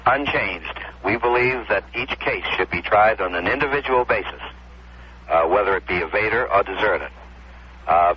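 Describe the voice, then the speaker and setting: A man with an American accent giving a formal, deliberate interview statement as a spokesman. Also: lowish voice. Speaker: veterans' organization spokesman. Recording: dull news tape, faint hum.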